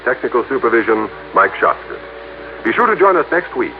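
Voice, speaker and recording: Lively vintage American radio announcer, male. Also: medium pitch, moderate pace, gravelly, narrow, tinny audio, music in the background. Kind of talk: 1960s network radio announcing, scripted. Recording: old, band-limited, hissy radio recording.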